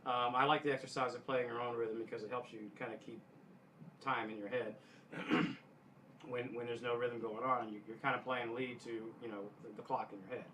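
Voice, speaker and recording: A man giving casual guitar instruction in a halting way, often backtracking and clearing his throat. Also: mid-range voice, flat delivery, bright, forceful tone, a little hiss or hum, poor audio. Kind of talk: casual instructional talk to camera.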